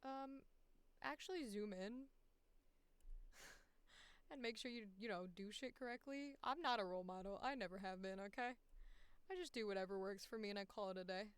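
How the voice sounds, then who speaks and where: A woman's voice: mid-range voice, moderate pace, long pauses, clear, resonant tone, no room sound. A woman talking casually and thinking aloud through a screen-share walkthrough, blunt, self-deprecating and prone to swearing. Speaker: voice actor giving a walkthrough.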